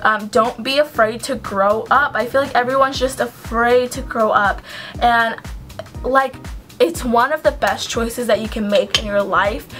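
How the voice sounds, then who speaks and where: A woman's voice: on the high side, steady tone, a little slow, a bit bright, soft background music. A young woman doing a makeup tutorial at home, chatting casually with lots of ums and likes and giving earnest advice. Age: young adult. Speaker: beauty vlogger. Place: small home room with shelves, indoors. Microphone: camera at close range.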